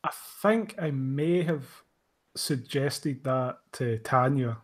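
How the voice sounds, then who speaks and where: A man's voice: medium pitch, slow. A man chatting casually on a show as the creator of an audio drama. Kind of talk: casual chat.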